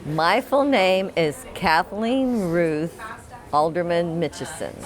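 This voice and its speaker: A woman's voice: on the low side, animated, slow. A woman from Florida, interviewing her family and laughing often. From Florida, USA. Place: outdoor family reunion. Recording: music and crowd chatter behind.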